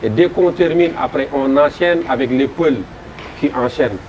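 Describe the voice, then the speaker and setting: A man with a Senegalese French accent, a festival promoter describing his event in an interview, halting and repeating words as he goes. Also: medium pitch, a little mellow, muffled recording. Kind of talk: interview answers. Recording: noisy background.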